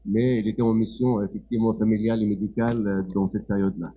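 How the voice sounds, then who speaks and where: Halting, low-voiced older man from Palestine. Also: flat delivery, husky, dull audio. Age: middle-aged or older. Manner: halting, searching for words. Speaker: guest speaker, doctor. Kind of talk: unscripted public talk.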